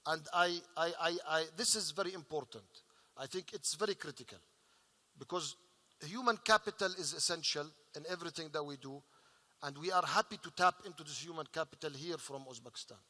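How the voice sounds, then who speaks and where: A man speaking as an investor on a panel, earnest and halting, with many fillers. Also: a little high, pushed and bright. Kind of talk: panel discussion remarks. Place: large conference hall. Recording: reverberant conference hall.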